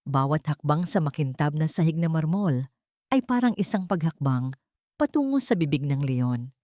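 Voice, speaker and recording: A woman narrating a scripted dramatic story in a measured, theatrical way. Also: deep voice, expressive, mellow, no room sound, studio quality.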